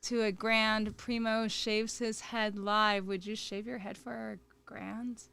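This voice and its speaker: A woman's voice: mid-range voice, level tone, takes it slowly, gravelly, sharp tone, smooth. A woman co-hosting a casual livestream, chatting and reading out viewer comments.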